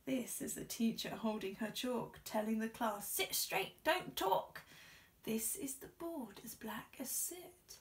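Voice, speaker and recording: A young woman reading a rhyming children's picture book aloud expressively, with measured, careful storytelling. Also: a little high, expressive, distant mic. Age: young adult. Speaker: storybook reader. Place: small indoor room. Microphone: camera a short distance away.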